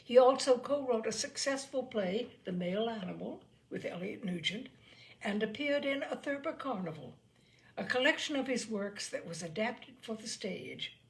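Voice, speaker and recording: A woman reading literary stories aloud as a storyteller, with measured, deliberate, expressive phrasing. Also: a little high, poor audio.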